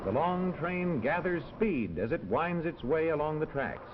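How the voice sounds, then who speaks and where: High-pitched, animated 1940s film narrator, man. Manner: clear, measured, instructional delivery. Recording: muffled old film soundtrack with hiss.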